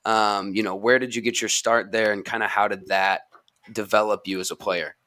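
Hyped up guy co-hosting, bright punchy voice, lots of slang. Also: fairly low pitch, normal speed. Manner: excitable, slangy. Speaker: podcast co-host. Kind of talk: casual podcast chat.

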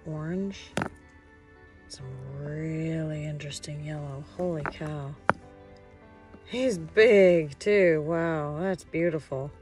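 A woman in her thirties vlogging casually outdoors, enthusiastic and informal, full of exclamations, recorded on a handheld camera. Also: low-pitched. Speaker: vlogger and rock-hunting host. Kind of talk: casual outdoor vlog chat. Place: outdoors on a rocky shore.

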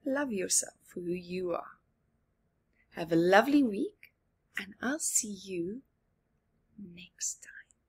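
A woman storyteller in her forties reads to young children with a husky, breathy voice. She goes very slowly, leaving dramatic pauses, and her pitch moves in lively swings. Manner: deliberate, with dramatic pauses and emphasis. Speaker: storyteller reading picture books to children. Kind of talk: expressive read-aloud with asides to young viewers. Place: small living room at home.

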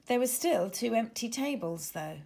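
A woman reading a children's storybook aloud in an expressive, deliberate way. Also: fairly high pitch, gravelly, breathy edge. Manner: animated, deliberate. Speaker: author reading own storybook.

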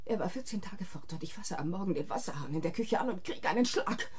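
An actress playing a distraught, panicked wife in a radio thriller, halting and repeating herself. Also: female voice, lowish voice, expressive, natural voice. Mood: hysterical, weeping. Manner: halting, repetitive, agitated. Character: distraught, panicked wife who killed her tormenting husband. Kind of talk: German radio thriller acting.

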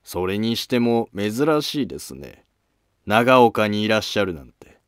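Man acting a low-voiced, deferential court noble. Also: sing-song, a little slow, no room sound, studio quality. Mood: probing, courteous. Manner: deferential, formal, insinuating. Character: polite, probing male court noble and imperial confidant. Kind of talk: scripted historical drama dialogue.

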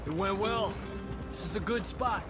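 An actor playing a brisk, comradely male outlaw lookout in a western game. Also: high-pitched, over music, close to an everyday voice. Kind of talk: cinematic western game dialogue.

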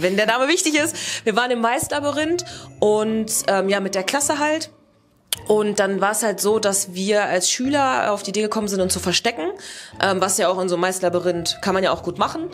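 A woman's voice: medium pitch, brisk. A young adult woman casually presenting and telling stories to camera, rambling and correcting herself as she goes. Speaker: YouTube presenter. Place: indoor room. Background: background music.

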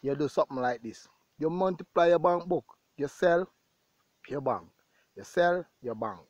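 A man's voice: fairly high pitch, expressive, normal speed, dry recording. A man with a Jamaican accent talking casually to viewers as a farmer vlogging outdoors, repeating phrases for emphasis. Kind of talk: casual vlog talk to viewers. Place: outdoors in an open grassy pasture.

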